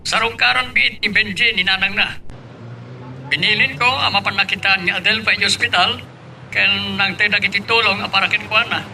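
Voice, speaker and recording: A man playing a well-off businessman and father in an Ilocano audio drama, brisk and commanding. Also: sits high, fairly even intonation, creaky, pushed and bright, a little hiss or hum, exaggerated voice, poor audio. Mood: businesslike. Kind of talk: Ilocano radio-style drama acting.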